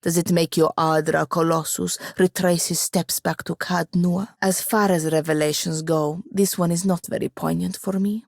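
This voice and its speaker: A proud young woman wizard from a fantasy video game. Her voice sits low and has a gravelly creak. She speaks in formal phrases with a dry, sardonic edge, in a very dry, close recording. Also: fairly even intonation, normal speed, hardly any pauses, a little mellow, no echo. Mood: dry defiance. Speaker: game companion character. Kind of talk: scripted video game dialogue.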